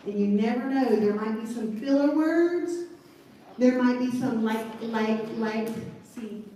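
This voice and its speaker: A woman with a deep Southern accent giving a chatty, animated talk at a live event. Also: unhurried. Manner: chatty and animated, laughs at herself. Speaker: event speaker. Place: large event hall. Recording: echoey hall, distant mic.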